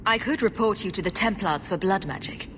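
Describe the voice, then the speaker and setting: A woman acting a calm, tactful heroine in a video game, composed and direct. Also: mid-range voice, brisk, a little hiss or hum, lightly acted. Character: calm, diplomatic heroine, adult and reasonable.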